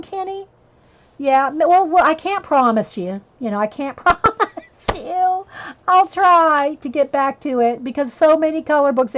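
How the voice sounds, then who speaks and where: A chatty woman with a drawl colors and talks at once, her voice sitting a little high and wandering off into asides to her viewers. The sound is dull and lo-fi, like a cheap livestream mic. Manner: chatty, rambling, reads chat messages aloud and answers viewers. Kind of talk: casual chat while coloring on a livestream.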